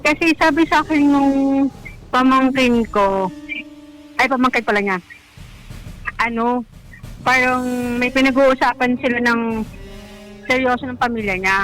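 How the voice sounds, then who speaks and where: A woman's voice: sits high, a little slow, pauses often, fairly bright tone, poor audio. A woman with a Filipino accent recounting a personal story in a radio interview, conversational and a little hesitant, slipping in English words. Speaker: caller telling own love story. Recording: music behind the voice.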